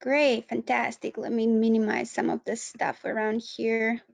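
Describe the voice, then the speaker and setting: High-voiced Romanian woman presenting. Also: little pitch movement, a little slow, creaky. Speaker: webinar presenter, human rights advocate. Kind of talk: educational webinar presentation.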